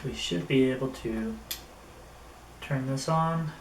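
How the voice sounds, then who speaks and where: A man talks through a casual hands-on review in a middling pitch. His delivery is halting, as if thinking aloud. He sounds set back from the mic in a roomy, echoey space. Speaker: product reviewer. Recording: roomy echo, distant mic, faint noise.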